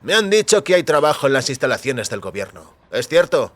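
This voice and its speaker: A man's voice: medium pitch, sing-song, brisk, no room sound. A man voicing a polite male newcomer looking for work in an audio story, asking probing questions. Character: polite, probing male job seeker, newly arrived.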